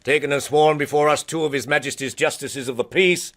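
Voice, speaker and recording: An actor playing an officious, gullible eighteenth-century magistrate, pompous and fussy, in a radio drama. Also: male voice, a little high, fairly even intonation, a little fast, fairly bright tone, lightly acted.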